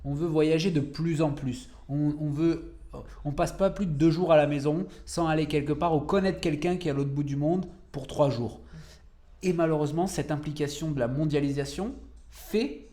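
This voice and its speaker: A man's voice: a little high, moderate pace, warm tone. A young adult man from France, a cancer researcher speaking as a podcast guest, who slips into English words and searches for French ones. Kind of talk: podcast interview.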